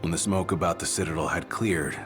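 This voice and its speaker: Brief: stoic game bodyguard, very low and solemn, music clearly behind. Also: male voice, a little fast, fairly bright tone. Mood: somber. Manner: solemn, weighty. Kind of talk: video game cutscene acting.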